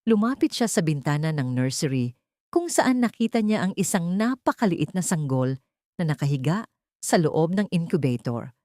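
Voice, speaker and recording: Woman narrator, dramatic audiobook read, low and mellow. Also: sing-song, no room sound, studio quality. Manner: measured, dramatic. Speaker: storyteller.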